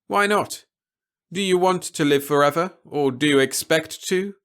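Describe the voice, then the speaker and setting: A man voicing a stern, articulate male official in an audiobook, with emphatic, deliberate, formal phrasing. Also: on the high side, fairly bright tone, smooth, pitched above the performer's own voice. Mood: blunt, explanatory. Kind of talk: audiobook character dialogue.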